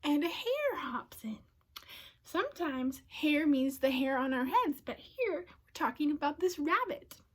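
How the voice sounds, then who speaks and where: Lady doing a kids read-aloud, high voice, really unhurried, expressive with hushed bits. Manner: expressive, often dropping to a breathy hush, asks listeners questions. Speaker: storybook reader. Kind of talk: children's read-aloud narration.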